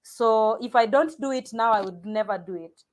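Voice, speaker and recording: Woman in a relaxed interview. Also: fairly high pitch, normal speed. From Kenya. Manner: restarts sentences, repeats words, laughs easily. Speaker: pastor and guest. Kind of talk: casual interview conversation.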